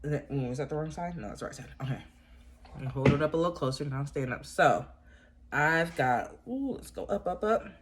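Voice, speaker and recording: A woman's voice: low-pitched, animated, fast talker, slight background noise. A woman with a stuffy, congested voice chatting casually from home to her viewers about crochet, rambling with plenty of fillers and restarts. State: congested from a cold. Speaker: yarn crafting vlogger. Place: at home indoors.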